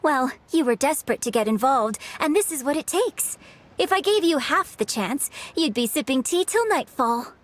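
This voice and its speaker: A woman playing a poised young performer and gently strict mentor in a video game, polite and composed. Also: sits high, animated, moderate pace. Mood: gently chiding. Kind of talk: English video game voice acting.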